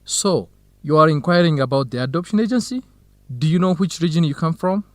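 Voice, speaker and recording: An actor playing a kind, helpful young student researcher, speaking carefully and formally in a radio drama for English learners. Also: male voice, fairly high pitch, a little fast, no room sound, close to an everyday voice. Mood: curious. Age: young adult.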